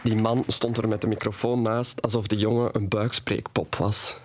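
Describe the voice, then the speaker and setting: An actor as low-voiced young man confiding forbidden love. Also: little pitch movement, normal speed, crowd noise, background noise, close to an everyday voice. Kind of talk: audio drama monologue, intimate first-person storytelling. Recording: music playing behind the voice.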